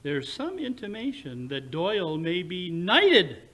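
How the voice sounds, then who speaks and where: A man playing a brisk, analytical, self-assured English gentleman detective in an old-time radio drama, with precise, formal, theatrical speech. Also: high voice, very animated, exaggerated voice. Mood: inquisitive.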